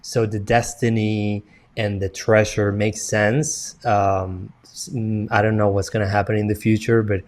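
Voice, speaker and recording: A man from Colombia with a Colombian Spanish accent, a podcast panelist talking casually with halting restarts. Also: lowish voice, level tone.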